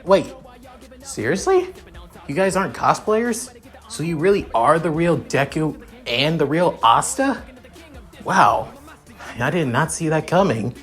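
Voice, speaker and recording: An excitable teenage boy acted out by a man gushes in a raised voice over music. He rambles like a starstruck fan in an anime story. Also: fairly high pitch, unhurried, pauses often, pitched above the performer's own voice. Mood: amazed. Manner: gushing, rambling. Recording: music behind the voice.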